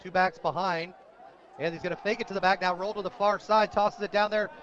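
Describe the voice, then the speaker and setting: A man calling live high school football play-by-play for radio, describing each moment in detail. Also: steady tone, fairly quick, a faint crowd, slight background noise. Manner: descriptive, rising to shouts on big plays. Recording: dull, lo-fi radio broadcast.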